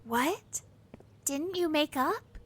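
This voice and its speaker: An actress playing a warm, chatty young palace worker with a romantic streak, eager and sympathetic, in an audio drama. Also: female voice, high-pitched, lively intonation, extremely slow, heavily acted. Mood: curious.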